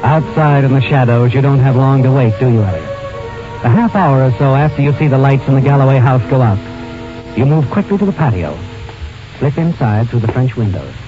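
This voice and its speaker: An actor playing a knowing, taunting suspense narrator in an old radio drama, speaking deliberately in the second person. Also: male voice, mid-range voice, lively intonation, natural voice. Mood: sly, suspenseful. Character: knowing, insinuating male storyteller addressing the culprit. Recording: dull old radio recording with music.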